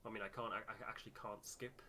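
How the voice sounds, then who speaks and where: A man's voice: on the low side, level tone, fast talker, pushed and bright, a little hiss or hum. A man hosting a game playthrough, casually muttering his reactions and decisions as he plays. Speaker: gaming host, player.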